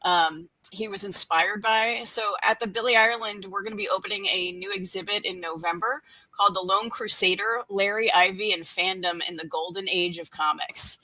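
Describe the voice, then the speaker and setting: Woman, bright voice, casual enthusiastic talk, dry close recording. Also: medium pitch, moderate pace, no room sound, low-quality recording. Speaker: panelist. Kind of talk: casual chat, promotional plug.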